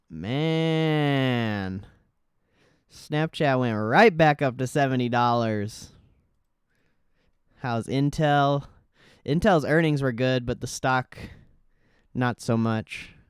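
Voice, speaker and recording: A man's voice: medium pitch, drawn-out words, long pauses, smooth, dry recording, studio quality. A man hosting a livestream, giving casual market commentary in informal, slangy, sweary speech. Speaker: livestream host.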